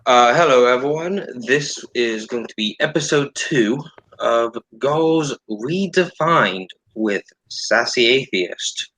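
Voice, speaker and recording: Male host for casual commentary: blunt, sardonic, sharp bright tone, animated delivery. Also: mid-range voice, takes it slowly, rough recording.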